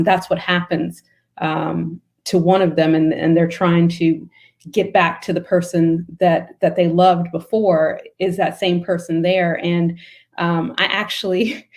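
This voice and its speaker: A woman author on a panel, speaking hesitantly with many fillers over a video call. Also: on the low side.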